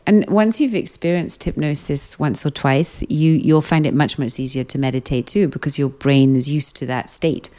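Calm low-voiced British woman. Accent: British English. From Britain. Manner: calm, soothing, measured. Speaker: hypnotherapist guest. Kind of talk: interview conversation.

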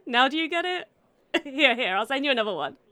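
A chirpy, officious manager in an audio comedy. Her high voice is very animated and recorded close and dry, prim yet chatty. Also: normal speed, dry recording. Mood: giddy, amused.

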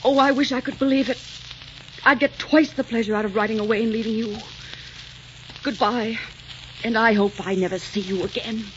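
An actress playing a proud, passionate young romantic heroine with a melodramatic, emotional delivery in 1940s radio style. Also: female voice, fairly high pitch, steady tone, normal speed, long pauses, a little hiss or hum, lightly acted. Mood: bitter, wistful. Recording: dull 1940s radio recording with music.

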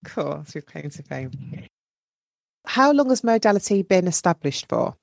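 A woman hosting a podcast with a low voice. She chats in a friendly way as she asks her guest questions. The recording is dry and close. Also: sing-song, moderate pace, creaky, no echo. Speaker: podcast host. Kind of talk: podcast interviewing.

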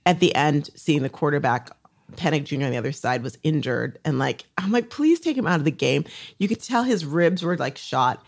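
Woman coach hosting her own podcast, a bit low-voiced, talks eagerly and circles around her points. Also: animated, brisk, creaky. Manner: enthusiastic, rambling, many restarts. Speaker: podcast host and social selling coach. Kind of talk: podcast chat.

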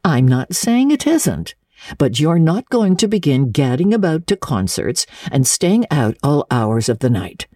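A woman's voice: sits low, big pitch swings, a little slow, dark tone, no room sound, clean studio sound, heavily acted. A woman voicing a stern, no-nonsense older woman guardian in a storybook reading, curt, emphatic and sarcastic. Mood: stern, scolding. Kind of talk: dramatic audiobook reading of classic literature.

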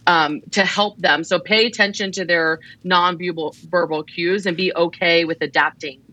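Bright, affirming woman podcast co-host. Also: on the low side, steady tone, moderate pace, poor audio. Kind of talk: casual podcast chat giving advice.

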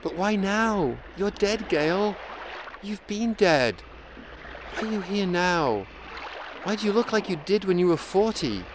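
A man's voice: high voice, expressive, unhurried, noisy background. Man acting as a weary, wistful elderly widower. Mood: grieving, anguished. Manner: reflective, wistful, poetic. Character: grieving elderly widower looking back on his life, weary. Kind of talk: audio drama acting with monologue.

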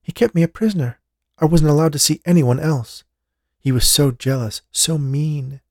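A man's voice: fairly high pitch, moderate pace, a little mellow, dry recording, clean studio sound, pitched above the performer's own voice. A man voicing a frightened young woman raised from a painting, who speaks in a pleading, tearful, urgent way.

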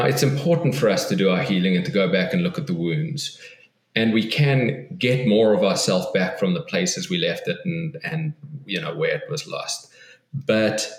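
A man's voice: fairly low pitch, lively intonation, moderate pace, echoey room. A man, a guest author on an interview podcast, speaks thoughtfully and earnestly, often restarting and repeating words.

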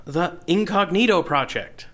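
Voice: medium pitch, lively intonation, unhurried, fairly bright tone. An actor playing a cocky, flippant scam artist in an audio drama, talking in a breezy, smug, salesman-like way. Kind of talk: scripted audio drama acting.

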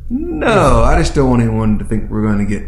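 A man's voice: mid-range voice, huge pitch swings, brisk, slight background noise. A man co-hosting a podcast in rambling casual chat, often stammering as he restarts his sentences. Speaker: podcast co-host. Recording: roomy echo.

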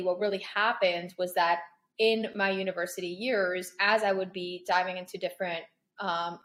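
A young woman, born in Paris and raised in Toronto, answering interview questions in a chatty, searching way with many ums. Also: lowish voice. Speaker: guest, content creator.

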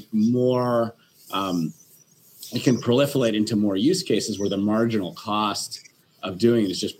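A man's voice: fairly low pitch, slight background noise, poor audio. A man on a panel discussion as an investor, talking with many restarts and fillers.

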